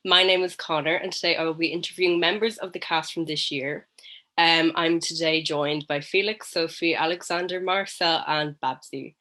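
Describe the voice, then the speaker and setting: A man's voice: on the high side, steady tone, normal speed, pushed and bright. A man with an Irish accent hosting a casual interview over a video call, with lots of ums and short, friendly replies. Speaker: host.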